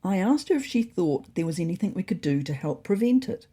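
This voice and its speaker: A woman plays a pompous, earnest orange tomcat telling his own diary as a storybook tale. The voice is husky and mellow, with big swoops in pitch and a naive confidence. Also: very animated, brisk, heavily acted. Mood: puzzled. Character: self-important, earnest male orange cat keeping a diary. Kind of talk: scripted first-person diary storytelling.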